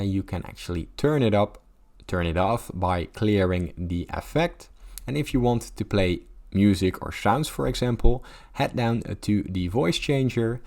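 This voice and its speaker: A man with a fairly low voice hosts a how-to software tutorial. He speaks in an instructional manner, walking the listener through one step after another as scripted narration. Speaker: tutorial host.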